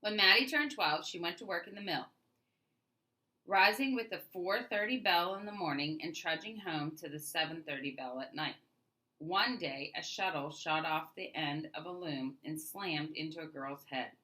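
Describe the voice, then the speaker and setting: A woman narrates a children's storybook aloud in an expressive way. Her voice sits low for a woman but is bright and forceful. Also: moderate pace, rough recording. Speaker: storybook reader.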